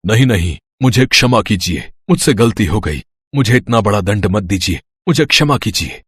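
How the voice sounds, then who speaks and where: A solemn elder of authority from a cartoon fairy tale, voiced by a man. The voice is very low and raspy, with formal, weighty phrasing. The recording is dry, without room sound. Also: lively intonation, moderate pace, dry recording. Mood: begging forgiveness. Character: solemn, deep-voiced elder men of authority: judge, kings, cursing beggar. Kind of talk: Hindi moral-story cartoon voice acting.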